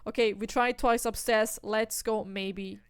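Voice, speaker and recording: A young woman from Austria, commentating an esports match solo, breaking down tactics and lifting into excited calls on the kills. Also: mid-range voice, a little slow, clean tone, dry recording. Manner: analytical, rising to excited bursts on kills. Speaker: esports caster.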